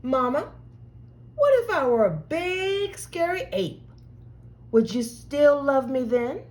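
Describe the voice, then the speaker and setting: A woman's voice: sits high, big pitch swings, takes it slowly, a little mellow, exaggerated voice. A woman voicing an anxious, imaginative small child in a picture book, pleading with Mama in a dramatic, wide-eyed way. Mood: wondering.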